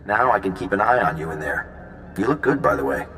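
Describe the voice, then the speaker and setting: An actor playing an easygoing, smooth-talking, flirtatious male intelligence agent in a video game, casual and breezy. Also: deep voice, very animated, fairly quick, a bit bright, heavily acted. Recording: long reverb, faint background noise.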